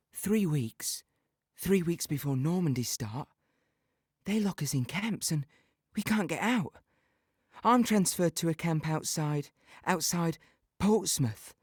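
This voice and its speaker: A man's voice: on the high side, a little mellow, slightly rough, no echo, clean studio sound, heavily acted. An actor playing a devout, dutiful young British infantryman telling of his war in an audio play. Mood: grave, reflective. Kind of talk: dramatic monologue recounting wartime memories in present tense.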